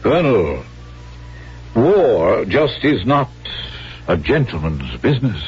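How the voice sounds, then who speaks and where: A man acting a shrewd foreign arms dealer in a 1970s radio drama, with formal, drawn-out, condescending phrasing. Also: fairly low pitch, very animated, husky, faint music behind, full character voice. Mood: amused, cynical. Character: shrewd, smooth male foreign arms dealer, coolly mercenary. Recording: muffled vintage radio broadcast.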